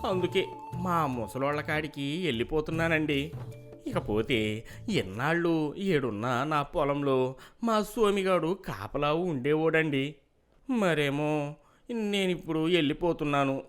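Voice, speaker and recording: A man's voice: fairly high pitch, lively intonation, a little slow, clean tone, faint music behind. A man playing a humble village farmer in a moral story, speaking deferentially in rustic dialect. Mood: humble pleading. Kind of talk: moral story character dialogue.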